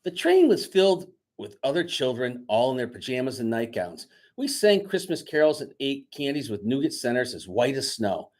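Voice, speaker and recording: A man speaking to schoolchildren as their teacher, reading a storybook aloud in an expressive, dramatic way. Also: medium pitch, normal speed, hardly any pauses. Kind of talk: children's storybook read-aloud and chat to pupils. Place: indoors, recorded remotely on video.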